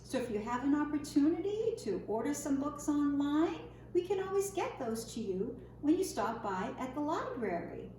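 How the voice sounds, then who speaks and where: A woman reading a picture book aloud for young children in an expressive, singsong storytime manner, often posing questions to her listeners. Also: high voice, moderate pace, hardly any pauses. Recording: roomy echo, faint background noise.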